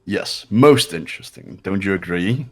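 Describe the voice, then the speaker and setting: A man plays a cool, condescending young snob in a video game. The voice is low and deliberate, drawing out words with pompous weight over music. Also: lively intonation. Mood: smug. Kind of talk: amateur video game voice acting.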